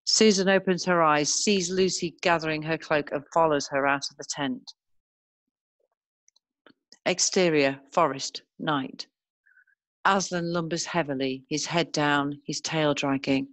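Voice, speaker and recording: A woman narrating a screenplay, reading scene headings and stage directions in a measured way. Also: sits low, steady tone, a bit bright, no echo.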